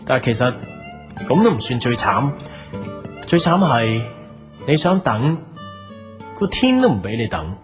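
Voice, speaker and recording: A man playing a hapless, defensive young cafe owner in an audio drama, speaking Cantonese with a Hong Kong accent in an expressive, exasperated way. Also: mid-range voice, measured pace, long pauses. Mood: dejected, reflective. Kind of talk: audio drama with introspective narration and dialogue. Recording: music behind the voice.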